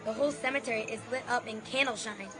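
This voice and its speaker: A woman's voice: a little high, steady tone, fast talker, crowd noise, natural voice. A woman voicing a curious, eager young boy adventurer in a radio drama. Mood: awed. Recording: very loud music behind the voice. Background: loud music.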